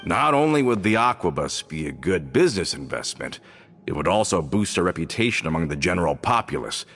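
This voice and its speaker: A low-voiced earnest gang member. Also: male voice, a bit bright, exaggerated voice. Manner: earnest, explaining at length. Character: loyal young male gang member, earnest and worried. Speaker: henchman to the boss. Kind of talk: game voice acting.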